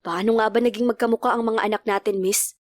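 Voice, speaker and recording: A woman's voice: fairly high pitch, fairly even intonation, fast, dry recording, natural voice. A woman voicing a stern, easily annoyed young father, curt and threatening, in a storybook narration. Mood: probing. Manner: curt, demanding.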